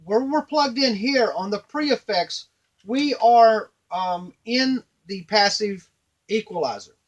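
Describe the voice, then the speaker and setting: High-pitched middle-aged man demonstrating gear. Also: takes it slowly, low-quality recording. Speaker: device maker and demonstrator. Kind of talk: informal hands-on product tutorial. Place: small indoor workshop at a workbench. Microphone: camera a few feet away.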